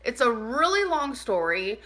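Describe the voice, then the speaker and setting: A woman chatting casually through a personal story for a vlog, animated and informal, saying "like" a lot and acting out bits of dialogue. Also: a little high, unhurried. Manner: animated, informal, acts out dialogue, heavy use of "like". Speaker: vlogger telling a personal story.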